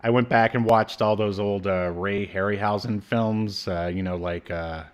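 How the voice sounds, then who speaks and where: A man's voice: on the low side, steady tone, moderate pace. A man in his thirties co-hosting a podcast from home, chatting casually and hesitantly. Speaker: podcast co-host. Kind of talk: casual chat reviewing old media. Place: indoor room at home, video call.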